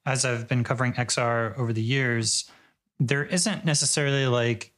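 A man's voice: medium pitch, steady tone, moderate pace, a bit bright. Casual podcast host man. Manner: casual, filler-heavy questioning. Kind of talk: podcast intro and interview questions.

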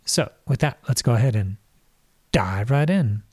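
A man's voice: medium pitch, lively intonation, unhurried, darkish tone, breathy edge, no room sound. A man hosting a podcast, introducing the episode in a crackly voice he is losing, explaining with casual fillers. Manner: explanatory, uses fillers like "like" and "kind of". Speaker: podcast host.

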